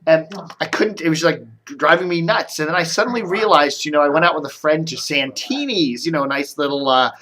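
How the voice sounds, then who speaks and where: American male vlogger, slightly high voice, casual and distractible, noisy home recording. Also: brisk. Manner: meandering, distractible, thinking aloud. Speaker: video blogger. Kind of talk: casual rambling chat to camera. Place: indoors at home.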